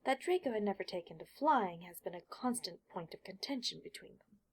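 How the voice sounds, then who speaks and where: A woman with a slightly husky voice reading scripted fiction as an audiobook narrator. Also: mid-range voice, expressive, a little fast.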